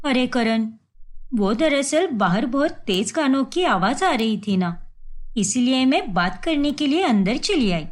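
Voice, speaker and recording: A woman voicing a scheming, sharp-tongued young wife in a cartoon story, speaking in a theatrical, defensive way. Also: high-pitched, animated, measured pace. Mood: smooth-talking.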